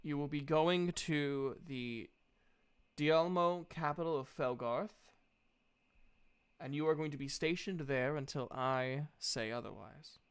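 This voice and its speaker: A man plays a brisk, orderly woman who leads a guild in a tabletop fantasy game. The delivery is formal and authoritative, with a smooth, clear tone and measured, slow pacing. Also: a little high. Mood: businesslike.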